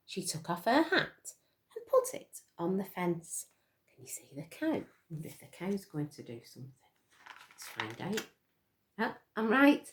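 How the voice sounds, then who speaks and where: A woman reading a picture book aloud to young children, expressively, with questions to her listeners. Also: fairly low pitch. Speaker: storytime reader for children.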